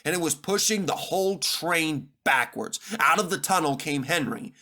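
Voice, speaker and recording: A man reading a children's story aloud as narrator, in an expressive, dramatic, scripted style. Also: fairly high pitch, normal speed.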